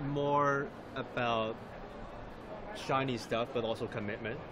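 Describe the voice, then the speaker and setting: A man presents live in a casual, conversational style. He often repeats words as he builds a sentence. Clear noise from a large busy exhibition hall runs behind him. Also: medium pitch, fairly even intonation, slow pace. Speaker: live stream reporter and host. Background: busy trade fair ambience.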